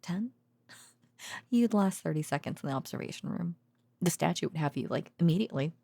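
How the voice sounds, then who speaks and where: Woman acting as a sleepy researcher gf, lowish voice w lots of fry, super close and dry, rambly, wry, teasing but sweet, bedtime audio rp. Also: expressive, moderate pace, dark tone, dry recording. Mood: affectionate teasing. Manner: hesitant, rambling, sighing, wryly sarcastic. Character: tired, teasing affectionate girlfriend, a researcher at a secret containment foundation. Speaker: voice actor.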